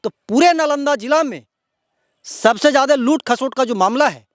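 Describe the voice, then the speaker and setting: A man's voice: very high voice, lively intonation, moderate pace, dry recording, clean studio sound. A man with a Bihari accent presenting outspoken local news commentary to viewers in an indignant, emphatic, repetitive way.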